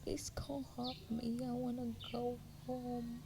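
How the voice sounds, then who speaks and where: Lady whispering as a scared little village girl, pleading, slow and creaky. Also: on the high side, monotone, noisy background, pitched above the performer's own voice. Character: frightened young village girl.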